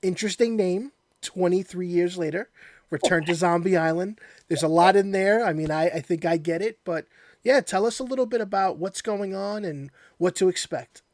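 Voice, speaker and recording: A man's voice: high voice, normal speed, a little mellow, dry recording. A man hosting a casual interview, chatty and welcoming as he leads the conversation. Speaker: interview host.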